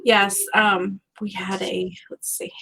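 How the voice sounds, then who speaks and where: A woman's voice: mid-range voice, little pitch movement, slow pace, vocal fry, bright, forceful tone, a little hiss or hum, low-quality recording. A woman hosting a casual online book chat in a friendly, enthusiastic manner. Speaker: livestream host. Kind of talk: casual book chat, relaying viewer questions.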